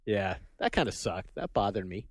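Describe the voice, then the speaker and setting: A man's voice: on the low side, big pitch swings, moderate pace, no echo, clean studio sound. A man with a nasal voice co-hosting a casual podcast, chatty and self-deprecating. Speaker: podcast co-host. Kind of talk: casual chat.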